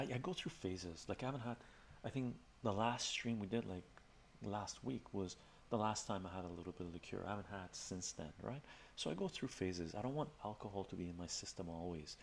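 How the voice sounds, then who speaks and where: A middle-aged man, Armenian by birth, chats in a relaxed, rambling way with fillers, as a livestream host in his home study. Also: sits low, a little hiss or hum. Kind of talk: casual soft-spoken chat with viewers.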